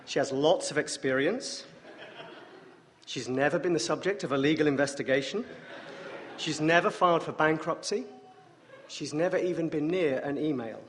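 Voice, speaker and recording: An English man preaching as a guest speaker, with a wry, self-deprecating humor and a voice deeper than usual from a morning rasp. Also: normal speed, long pauses, slight background noise. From England. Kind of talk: sermon with dry jokes. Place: church sanctuary. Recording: long church reverb.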